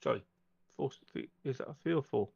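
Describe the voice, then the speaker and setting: A man's voice: medium pitch, expressive, brisk, vocal fry, dark tone, breathy edge, no room sound, muffled recording. A man chatting casually as a player in a tabletop roleplaying game.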